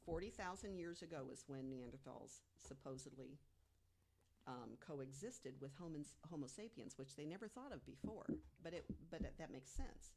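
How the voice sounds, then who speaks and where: A woman with a low voice talks through researched material on a casual podcast. She is emphatic and deliberate, often setting up points with rhetorical questions. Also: lively intonation, a little mellow, slight background noise. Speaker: podcast guest panelist. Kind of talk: informal podcast storytelling from research notes.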